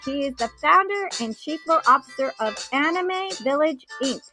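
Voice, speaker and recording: A woman's voice: high-pitched, lively intonation, normal speed, over music, poor audio. A woman hosting an interview over a remote call, bubbly and chatty, laughing often and using lots of fillers. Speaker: host. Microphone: remote call from home.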